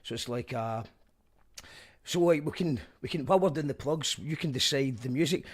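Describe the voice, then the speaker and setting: A man's voice: mid-range voice, normal speed, slightly rough. A man with a Scottish accent hosting an online live show in loose, casual chat that often restarts mid-sentence. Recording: background music playing under the voice.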